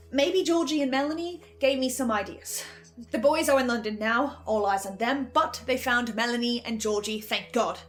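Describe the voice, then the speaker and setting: A woman playing an anxious psychic archivist who thinks aloud haltingly in an audio horror drama monologue. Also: fairly high pitch, natural voice. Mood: thoughtful worry. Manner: hesitant, searching, stammering monologue.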